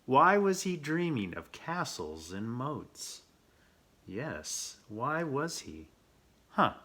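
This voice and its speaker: A man reading a children's picture book aloud as host, playful and theatrical, with expressive asides and questions. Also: medium pitch, slow pace. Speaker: storyteller, host of a read-aloud show.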